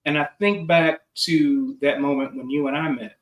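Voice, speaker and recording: A man, a psychologist, telling a confiding story in a reflective chat over a video call, trailing off softly at the ends of phrases. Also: on the high side, fairly even intonation, normal speed. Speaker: clinical psychologist. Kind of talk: reflective conversation. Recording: echoey room.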